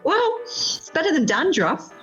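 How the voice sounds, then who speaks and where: Animated, theatrical middle-aged woman played by an actress. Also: extremely high pitch, unhurried, dull audio, faint music behind, exaggerated voice. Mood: chatty, sardonic. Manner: melodramatic, sardonic. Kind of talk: amateur radio play acting. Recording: home recording over video call.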